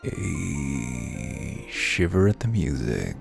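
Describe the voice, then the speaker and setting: This is a man whose voice sits extremely low, with a gravelly fry. He commentates a game with a wry, offhand tone and reads its text aloud, his pitch swinging animatedly. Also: sing-song, drawn-out words. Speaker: let's play host. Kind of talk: casual gameplay commentary. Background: faint game music.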